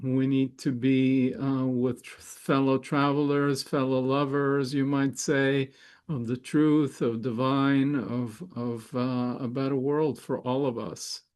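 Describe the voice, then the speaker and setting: A middle-aged man from Israel, a Hebrew speaker, hosting a spiritual gathering in a thoughtful, halting way with many fillers and restarts. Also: mid-range voice, steady tone, darkish tone. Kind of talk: reflective spiritual talk.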